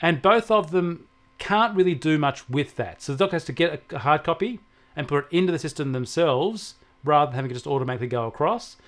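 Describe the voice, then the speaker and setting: Higher-pitched Australian man explaining technology. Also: expressive, fast. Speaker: technology expert answering questions. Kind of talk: conversational explanation and advice.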